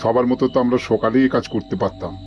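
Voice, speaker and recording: A man's voice: medium pitch, steady tone, fast, muffled recording, over music, lightly acted. A man playing a grumbling village laborer.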